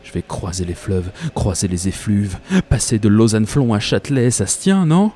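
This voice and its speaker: A man's voice: lowish voice, normal speed, warm tone, close to an everyday voice. A man playing a dreamy, obsessive commuter who narrates a radio fiction in a colloquial, wry, confiding way. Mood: intent, confiding. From Paris, France. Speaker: narrator and protagonist. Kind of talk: first-person radio fiction monologue.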